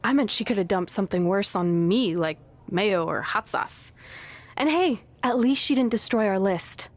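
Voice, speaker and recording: A woman's voice: mid-range voice, animated, normal speed, close to an everyday voice. A woman playing a loyal, sarcastic young woman wrestler who encourages her friend in a video game cutscene. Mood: wry, reassuring. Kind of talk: scripted game cutscene acting.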